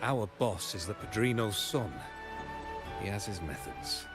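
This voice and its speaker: Game gangster: lowish pitch, weary, dutiful, matter-of-fact, over background score. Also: male voice, a little slow. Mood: resigned. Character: world-weary gangster agent, dutiful, blunt. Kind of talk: video game character acting. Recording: game music underneath.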